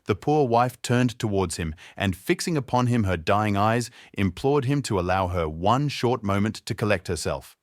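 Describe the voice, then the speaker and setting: A man's voice: on the low side, animated, normal speed, hardly any pauses, dry recording, clean studio sound. A man reading a scripted fairy tale as a storyteller.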